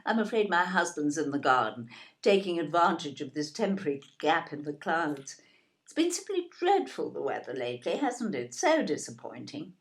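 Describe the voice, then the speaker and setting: An actress playing a genteel, fussy older English grandmother, with a polite, gushing, brisk manner in an audio play. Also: deep voice, very animated. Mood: polite, chatty.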